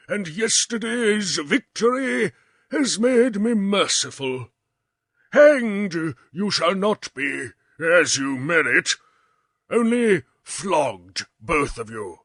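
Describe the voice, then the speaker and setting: Brief: male reader as a pompous old prince, high, bright, husky, slow and commanding. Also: no room sound, clean studio sound, heavily acted. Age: older.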